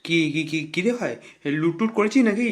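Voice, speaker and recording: A man's voice: high voice, a little fast, slight background noise, close to an everyday voice. A man voicing a nosy, streetwise leader of idle neighbourhood lads in a comic cartoon, slangy and exclamatory. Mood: astonished.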